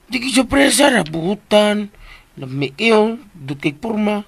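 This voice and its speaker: A man's voice: high-pitched, big pitch swings, a little slow, vocal fry, no echo, heavily acted. A Visayan Filipino man playing a cheeky, devoted household servant in a comedic radio drama, stammering and clowning as he wheedles. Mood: gossipy. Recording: radio drama recording with faint background noise.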